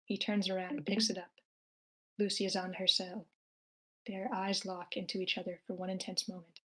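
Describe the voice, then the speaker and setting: A woman narrates a screenplay's action at an amateur table read. She reads plainly in a medium-pitched voice and now and then stumbles on a word. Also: little pitch movement, normal speed, pushed and bright. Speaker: stage-direction narrator.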